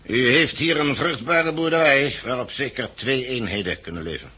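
A man's voice: mid-range voice, normal speed, sharp tone, breathy edge. A man acting in a 1960s Dutch radio drama as a cold, officious committee envoy, formal and pompous, with a Netherlands accent. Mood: coolly insistent. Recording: muffled-edged vintage 1969 radio recording.

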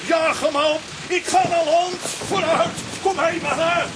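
An actor playing a bossy, greedy gang leader who gloats and repeats himself excitedly in a children's radio play. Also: male voice, very high-pitched, measured pace, very noisy. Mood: scheming, excited.

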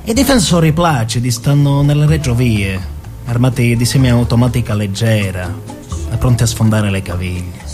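Guy acting as cocky mob hitman bragging away, radio drama, lively swoopy pitch, music behind him. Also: normal speed, lightly acted. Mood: swaggering. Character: swaggering male mafia gunman boasting about killings. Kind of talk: theatrical radio drama monologue.

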